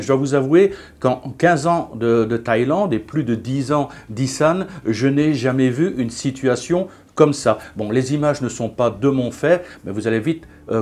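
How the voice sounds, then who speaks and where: A man's voice: mid-range voice, darkish tone. Man chatting in a vlog. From Belgium. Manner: chatty, hesitant with frequent fillers and restarts. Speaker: vlogger. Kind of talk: casual vlog monologue.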